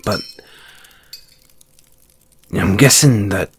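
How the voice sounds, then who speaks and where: Over a noisy home recording comes a man voicing a hearty old-adventurer dwarf blacksmith from a fantasy audio roleplay. His voice sits on the low side and moves at a very unhurried pace. He is gruff but kind, with a folksy, loyal, avuncular manner. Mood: concerned. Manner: hesitant, folksy, avuncular, addresses listener as lad. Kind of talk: fantasy audio roleplay acting, second-person dialogue.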